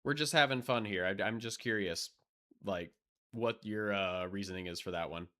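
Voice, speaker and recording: A man's voice: fairly low pitch, normal speed, fairly bright tone. A young man chatting casually on a live stream, rambling with lots of fillers and restarts, blunt and profane, and losing his voice.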